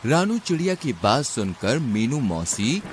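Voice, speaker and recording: A man's voice: medium pitch, big pitch swings, a little slow, very noisy. A man narrating a scripted animal fable as a storyteller for a cartoon.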